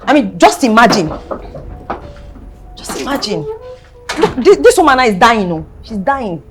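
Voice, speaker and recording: A woman's voice: on the high side, very animated, a little slow, music in the background. An actress with a Nigerian accent playing a haughty, scheming, hot-tempered young heiress in a film drama, emphatic and repeating her phrases. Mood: theatrically distressed. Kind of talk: melodramatic film acting.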